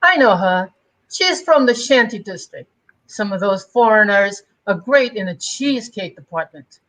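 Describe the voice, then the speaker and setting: A woman's voice: mid-range voice, lively intonation, normal speed, a bit bright, close to an everyday voice, low-quality recording. A woman acting catty, snobbish young society gossips in an amateur dramatic reading of a romance comic, with a theatrical, sardonic delivery. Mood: catty, sneering.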